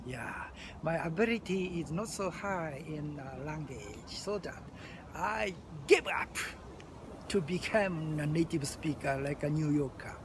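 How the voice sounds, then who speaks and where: Older Japanese-accented man, video diarist, high lively voice, slow, halting; traffic noise behind. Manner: halting, many fillers and restarts. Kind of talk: unscripted video diary.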